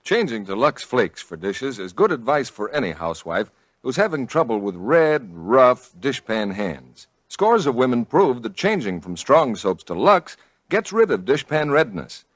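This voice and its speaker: A man's voice: medium pitch, very animated, unhurried, no echo. A man announcing and narrating a scripted commercial in polished 1940s radio style. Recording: vintage radio, faint crowd and noise.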